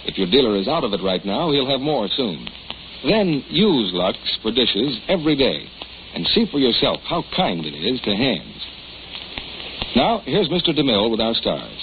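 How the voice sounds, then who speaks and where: A man's voice: medium pitch, sing-song, moderate pace. An American man announcing a scripted 1940s radio commercial. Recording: tinny, hissy 1940s radio recording.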